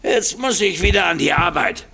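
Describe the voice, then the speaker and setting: An actor playing a gruff, dismissive older estate agent boss in a comedic audio drama, gravelly and drawling. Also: male voice, sits high, expressive, moderate pace, noisy background. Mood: curt, dismissive. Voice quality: gravelly, creaky.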